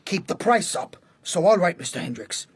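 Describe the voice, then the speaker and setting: An actor playing a ruthless, mocking American assassin who chairs a criminal cartel in a radio drama, with a husky, commanding delivery. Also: male voice, high-pitched, sing-song, moderate pace, no room sound, exaggerated voice. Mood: firm, brash.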